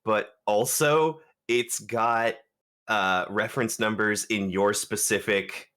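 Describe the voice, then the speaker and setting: A woman running a tabletop game as game master over a video call, halting often with fillers and laughter. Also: rumbling low, a bit bright.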